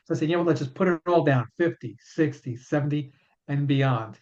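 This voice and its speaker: A man's voice: fairly high pitch, brisk, a little mellow. A man in his fifties, a chiropractor and author, answering interview questions in an earnest way and often repeating words as he explains. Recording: dull lo-fi sound.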